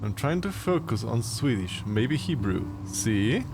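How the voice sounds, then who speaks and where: A man's voice: medium pitch, sing-song, measured pace, vocal fry, noisy background. A man with a German accent, a native German speaker, chatting casually and teasingly with viewers while he plays a game on a live stream. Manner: playful, teasing, chuckles often. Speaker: VTuber streamer.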